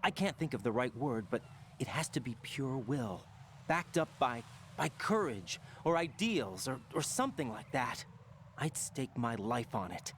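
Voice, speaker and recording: A man's voice: medium pitch, very animated, measured pace, slight background noise. An actor playing an earnest, inquisitive young male operative in a video game cutscene.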